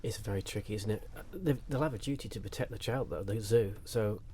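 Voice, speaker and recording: Male radio contributor, lowish pitch, thoughtful casual delivery, faint noise and ambience behind. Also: quick pace, mellow. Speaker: discussion contributor. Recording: distant, clearly noisy background ambience.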